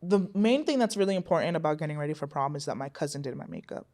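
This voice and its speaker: Brief: woman in her twenties, lowish voice, lively studio storyteller, lots of "like" fillers. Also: fast talker. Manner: animated, acts out quotes, frequent "like" fillers. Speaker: podcast guest. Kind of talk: personal storytelling.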